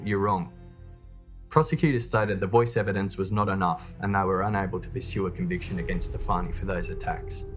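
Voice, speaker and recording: A deep-voiced man narrates a true crime documentary from a script. Music with guitar plays clearly behind his low voice. He delivers it as a narrator telling a case.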